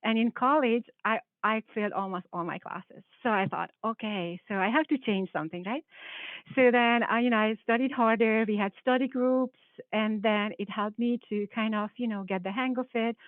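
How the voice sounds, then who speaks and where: Hungarian woman, professor presenting to teens, earnest and halting, thin telephone audio. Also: on the high side, dry recording. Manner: hesitant, restarts phrases, earnest. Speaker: biology professor. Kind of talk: panel presentation with slides. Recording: tinny phone-like call audio.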